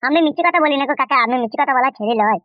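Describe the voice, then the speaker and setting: A man's voice: extremely high pitch, expressive, very fast, no echo, muffled recording. A man voicing a hot-headed, gullible village husband in a comic cartoon, blustering and whining in a rural West Bengal accent. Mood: plaintive. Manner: blustering, whining, mispronounces words.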